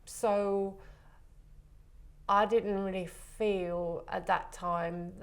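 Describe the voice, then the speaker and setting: A woman from the Republic, a photographer guest talking in a relaxed interview, soft and hesitant with many pauses. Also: mid-range voice. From Czech Republic.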